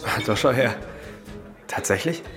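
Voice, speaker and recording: Bitter widower, halting and intense, played by a man. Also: mid-range voice, animated, music in the background, natural voice. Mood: reserved. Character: bereaved, bitter husband bent on revenge. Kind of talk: radio crime drama dialogue.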